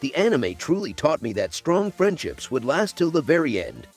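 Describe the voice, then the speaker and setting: Guy doing scripted list video narration, pitch moves around a lot, faint music under it. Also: sing-song, normal speed, breathy edge.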